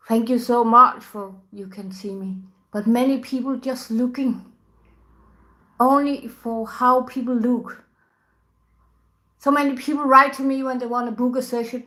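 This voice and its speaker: A woman, a tantra teacher talking casually to camera in soft, unhurried English, often laughing between thoughts. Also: a little high, poor audio. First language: Danish. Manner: soft-spoken, unhurried, laughs often, non-native grammar. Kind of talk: casual spoken talk to camera.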